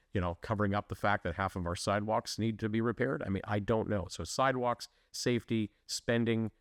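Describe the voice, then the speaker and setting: Man, council candidate, earnest and emphatic, lowish voice, dry close sound. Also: no room sound. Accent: Canadian English. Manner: earnest, restarts and fillers, emphatic. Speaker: municipal election candidate. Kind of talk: interview answers, conversational.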